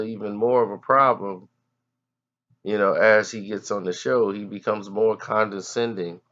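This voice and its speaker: Bright-voiced indignant male commentator. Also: lowish voice, dull audio. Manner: indignant, emphatic. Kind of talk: reaction commentary.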